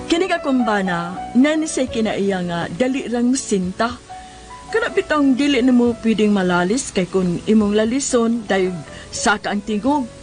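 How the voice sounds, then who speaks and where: A woman's voice: a little high, lively intonation, moderate pace, darkish tone, faint music behind, lightly acted. An actress playing an exasperated wife who tells her own story in a radio drama. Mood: confiding. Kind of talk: Cebuano radio drama narration and dialogue.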